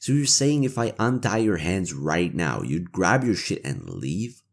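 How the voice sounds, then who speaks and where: A man playing a cynical, world-weary imperial knight interrogator with a conscience, in a roleplay audio drama, gravelly, sardonic and given to swearing. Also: low-pitched, very animated, a little slow, heavily acted. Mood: guarded, conflicted.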